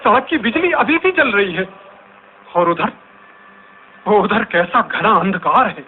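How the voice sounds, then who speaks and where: Guy playing worried man wandering around talking to himself, radio play, slow and halting, tinny hissy recording. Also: high-pitched, expressive. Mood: alarmed wonder. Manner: theatrical, halting, wondering to himself. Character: anxious lone man wandering and musing aloud. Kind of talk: radio drama monologue. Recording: tinny noisy narrow-band radio recording.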